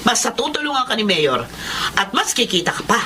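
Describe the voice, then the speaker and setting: A scheming politician's wife in a Filipino radio drama. Her voice is sharp and very animated, gushing and coaxing, over a faintly noisy background. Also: a little high, fairly quick. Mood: eager, flattering.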